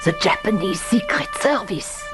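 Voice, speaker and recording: An actress playing a villain's intense, suspicious companion in a radio play, with a dramatic, urgent delivery. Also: female voice, mid-range voice, lively intonation, normal speed, over music, lightly acted. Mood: urgent, insistent. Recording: film score behind voice.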